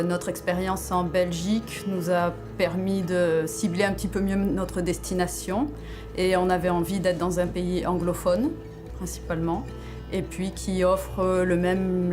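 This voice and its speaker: A woman from France, calmly recounting why her family emigrated in a testimonial interview. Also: fairly low pitch. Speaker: immigrant interviewee.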